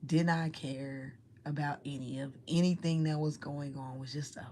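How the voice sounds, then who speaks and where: A woman telling a story to her viewers in a soft, low whisper, rambling and using casual slang. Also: fairly even intonation, moderate pace, slight background noise. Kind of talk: whispered ASMR-style storytelling.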